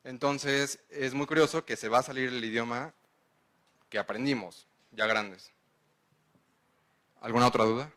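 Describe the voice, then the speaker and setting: A man's voice: medium pitch, fairly even intonation, unhurried, pauses often, fairly bright tone. A man with a Mexican accent giving a conference lecture. He explains step by step and checks in with the audience. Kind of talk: conference lecture answering questions.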